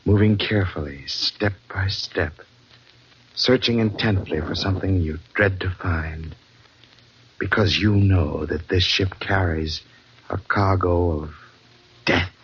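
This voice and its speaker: Male announcer, lowish pitch, ominous 1940s radio narration, muffled recording with light noise. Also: takes it slowly. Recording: muffled, noisy old radio broadcast.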